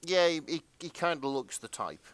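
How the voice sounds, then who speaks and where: A man co-hosting a casual chat, explaining himself in a halting, qualifying way. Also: fairly high pitch, expressive, a bit bright. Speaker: podcast co-host.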